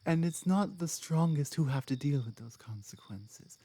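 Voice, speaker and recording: A woman playing a strong, proud, guarded female orc in an intimate ASMR roleplay, low-voiced, speaking in deliberate, halting phrases. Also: lively intonation, mellow. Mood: earnest, bitter. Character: strong, proud, earnest female orc companion, guarded about her past. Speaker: audio roleplay performer. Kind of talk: ASMR dramatic roleplay, intimate monologue to listener.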